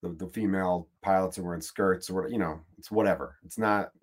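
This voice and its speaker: A man with a Chicago accent rants through a comic podcast monologue, swearing freely and circling back on his own sentences. His voice sits a bit low, and he sounds fed up, loose and chatty. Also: steady tone, fairly quick. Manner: profane, exasperated, rambling. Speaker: podcast host. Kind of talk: comedic monologue rant.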